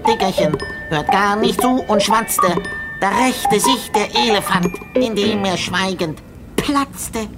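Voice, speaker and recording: Cheeky little imp creature, slow teasing singsong, kids radio play, loud music underneath. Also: male voice, high voice. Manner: slow teasing sing-song delivery. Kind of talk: children's radio play acting.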